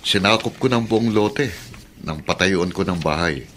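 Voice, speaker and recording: An actor playing a firm, matter-of-fact man selling his small house in a radio drama, brisk and unbudging. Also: low-pitched, a bit bright, slight background noise. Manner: brisk, plain-spoken, unbudging.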